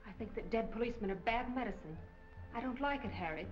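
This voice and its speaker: A tough, curt moll played by a woman who won't be pushed around, in an old crime picture. Her voice sits fairly high, with music behind it on a muffled 1940s soundtrack. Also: animated, quick pace, natural voice. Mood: defiant. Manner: curt, cool, unafraid. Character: hard-boiled, defiant gangster's moll wanting out. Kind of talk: 1940s crime film acting.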